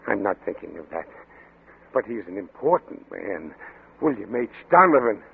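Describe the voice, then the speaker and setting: An actor playing an anxious, kindly older country doctor who stammers and pleads, in an old-time radio drama. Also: male voice, lowish voice, very animated, moderate pace, vocal fry, phone-quality sound. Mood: pleading. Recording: thin, hissy old radio recording.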